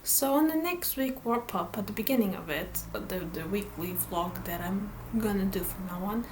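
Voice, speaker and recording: A woman's voice: medium pitch, moderate pace, background noise. A woman whose first language is Portuguese, chatting casually to camera about her reading plans, hesitant, with many fillers and restarts. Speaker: book vlogger.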